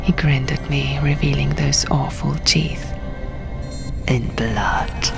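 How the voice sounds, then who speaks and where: Low-voiced woman narrating slowly over music. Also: monotone, gravelly. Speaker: audiobook reader. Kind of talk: dramatic first-person story narration. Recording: music behind the voice.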